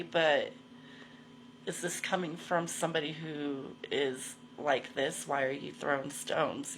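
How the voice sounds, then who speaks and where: A woman's voice: low voice, fairly bright tone, a little hiss or hum, low-quality recording. A woman in her forties talking casually to livestream viewers, candid and slangy, swearing freely and repeating herself for emphasis. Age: 40s. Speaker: host of a live video show.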